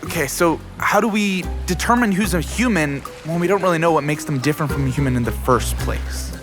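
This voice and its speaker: A man's voice: fairly high pitch, a little fast, natural voice. An actor playing an anxious, earnest young male student in an audio drama, talking hesitantly with stammers. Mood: nervous, hesitant. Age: young adult. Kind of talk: audio drama narration and dialogue. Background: music.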